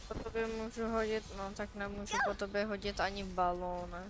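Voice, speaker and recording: A woman's voice: medium pitch, flat delivery, quick pace. A woman giving casual gameplay commentary as a game streamer. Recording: loud game music over voice. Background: loud game music.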